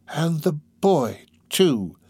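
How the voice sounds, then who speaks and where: A man acts out a crafty old villain from a classic storybook. The voice croaks and drags its words out slowly and oilily, in a close, dry recording. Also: a little high, very animated, a little mellow, no echo, pitched above the performer's own voice, exaggerated voice. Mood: wheedling. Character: crafty old male criminal, wheedling, then furious.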